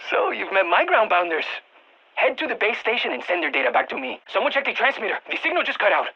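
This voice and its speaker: A man's voice: sits high, sing-song, fast, husky, highs cut off, exaggerated voice. A man playing an eager, geeky field scientist in a video game, giving precise technical briefings to soldiers over radio comms. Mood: explanatory. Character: excitable nerdy male field scientist guiding soldiers by radio. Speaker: mission-support scientist character in a shooter game.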